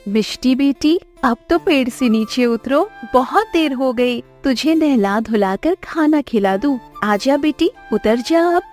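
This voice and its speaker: A woman's voice: sits high, expressive, measured pace, few pauses, darkish tone, soft background music. A woman playing a poor fisherman's wife, a tender and fiercely protective mother, with emphatic delivery in a cartoon story. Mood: tender, coaxing. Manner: emphatic, pleading, scolding. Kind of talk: Hindi cartoon story acting.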